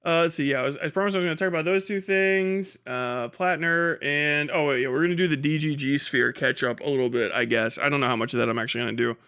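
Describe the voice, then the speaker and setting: A man's voice: on the high side, fast, few pauses, sharp tone, clean tone. A man hosting a casual livestream, talking in a sweary, dismissive, sardonic way. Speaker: livestream host.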